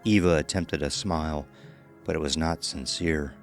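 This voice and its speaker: A man's voice: rumbling low, normal speed, soft background music. A man narrating a scripted horror story as the storyteller of an audio drama.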